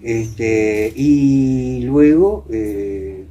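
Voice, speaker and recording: An older man with an Argentine accent from Entre Ríos, reminiscing as a guest and often pausing to find his words. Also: mid-range voice, mellow, highs cut off. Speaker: interview guest. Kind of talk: reminiscing in conversation. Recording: clear background noise.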